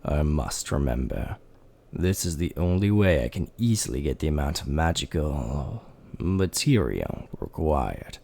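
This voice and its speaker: A methodical skeleton scientist, as a man voices him in a fan dub, recites his reasoning in a deep, gravelly voice. His delivery is measured and steady, and his pitch lifts and falls as he reassures himself. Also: exaggerated voice. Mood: resolute.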